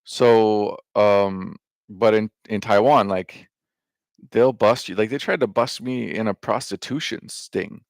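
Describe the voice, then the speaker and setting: A man's voice: lowish voice, takes it slowly, creaky, dry recording, studio quality. A man talking in a loose, meandering monologue, full of fillers, restarts and casual swearing. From United States. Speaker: vlogger.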